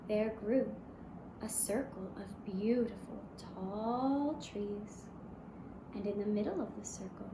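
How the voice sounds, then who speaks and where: A woman storyteller narrating a fairy tale for young children in a gentle, measured manner with short pausing phrases. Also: medium pitch. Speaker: puppet show storyteller. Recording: roomy echo, clear background noise.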